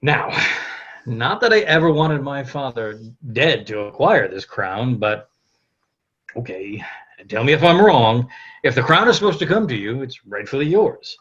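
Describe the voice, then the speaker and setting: A man's voice: mid-range voice, sing-song, a little slow, fairly bright tone, breathy edge, full character voice. An actor playing a cocky, foul-mouthed young French king who gloats and swaggers like a stage showman, over a lo-fi online call. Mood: indignant. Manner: boastful, indignant, swaggering. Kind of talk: theatrical comic monologue in a table read. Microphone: video call.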